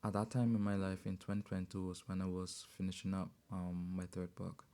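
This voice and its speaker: A man, a poet guest on a radio show, telling his story in a measured way with many pauses and ums. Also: sits low, flat delivery, clear, resonant tone. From Barbados. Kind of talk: relaxed interview talk. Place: radio studio. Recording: loud music bed behind voice. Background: music.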